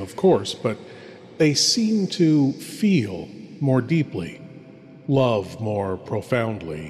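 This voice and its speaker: A man playing a courtly, sinister horror host who delivers grand, ominous introductions with dramatic pauses. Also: medium pitch, darkish tone, a little hiss or hum. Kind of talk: theatrical scripted horror introduction. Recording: long reverberant hall.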